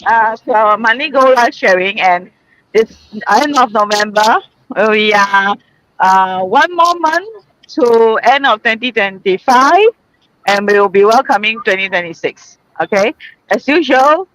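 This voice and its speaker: Singapore woman hosting a live, high voice, chatty and laughy, dry close sound. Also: lively intonation, measured pace, a bit bright, dry recording, poor audio. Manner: chatty and laughing, with many fillers and restarts. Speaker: host of a group live stream. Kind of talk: casual live-stream chat. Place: out in a busy shopping mall.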